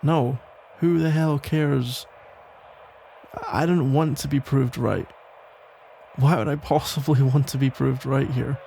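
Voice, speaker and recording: Guy doing a worried protective cop ex, asmr roleplay, super croaky voice, casual n a bit exasperated, slight noise behind. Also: mid-range voice. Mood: exasperated, earnest. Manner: halting, casual, gently exasperated. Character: protective, worried male police officer, listener's ex-boyfriend. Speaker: audio roleplay performer. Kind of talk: ASMR roleplay, conversational one-sided acting.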